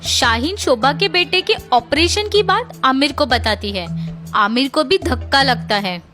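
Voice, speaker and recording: A woman's voice: sits high, expressive, measured pace, over music. A woman narrating a scripted children's story as its storyteller.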